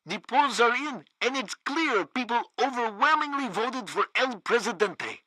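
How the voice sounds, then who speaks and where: An actor playing a zealous, loyal regime radio host in a comedic video game, with a pompous, theatrical delivery. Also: male voice, extremely high pitch, lively intonation, unhurried, bright, forceful tone, no room sound, exaggerated voice. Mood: cheerful, assured. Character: zealous loyal propaganda radio host, male, banana-republic sycophant.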